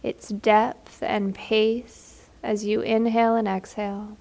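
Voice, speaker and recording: A woman leads a guided meditation at a very slow pace. Her delivery is gentle and soothing, with little rise or fall in a mid-range voice. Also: mid-range voice, flat delivery, vocal fry.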